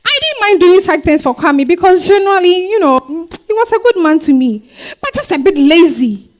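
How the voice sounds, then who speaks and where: Woman acting an expressive high-voiced Ghanaian wife. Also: normal speed. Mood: polite, mildly exasperated. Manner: expressive, conversational, with hums and exclamations. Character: warm, weary young market-trader wife and mother, candid. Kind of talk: dramatized stage reading, first-person storytelling.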